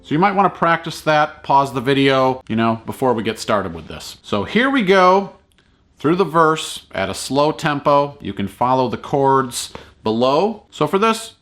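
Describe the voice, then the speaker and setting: A man's voice: medium pitch, very animated, measured pace, a bit bright. Male online music teacher, easygoing and encouraging, mid-range voice, informal tutorial delivery with music behind. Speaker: ukulele teacher and online video host. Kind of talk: casual play-along tutorial talk.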